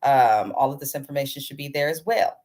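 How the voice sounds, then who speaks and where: A woman's voice: very deep voice, brisk, darkish tone. A woman hosting a casual, chatty TV recap, speaking informally to her viewers with a slightly congested voice and a sore throat. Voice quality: slightly congested, not crisp. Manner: informal, addresses viewers directly as "you guys". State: under the weather, sore throat with throat clearing. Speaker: host of a TV recap channel.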